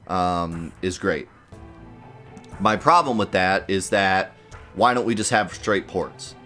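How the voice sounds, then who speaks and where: A man's voice: on the low side, fairly bright tone. Congested man rambling about games. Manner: hesitant, rambling, lots of fillers, gripes. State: congested, a little nasal from a cold. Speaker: let's play host. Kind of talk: casual gaming commentary to viewers.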